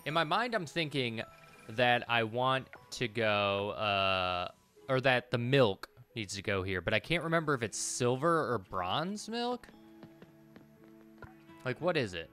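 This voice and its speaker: Man thinking aloud, slow and bright. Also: mid-range voice, sing-song, faint music behind. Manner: muttering to himself, hesitant, repetitive. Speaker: game streamer. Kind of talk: casual gameplay commentary, thinking aloud. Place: indoor room, streaming at a computer.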